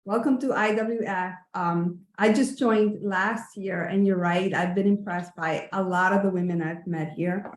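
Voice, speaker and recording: A woman from Cuba, a former television news correspondent, introducing herself casually with a measured, polished delivery. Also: mid-range voice, steady tone. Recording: echoey room.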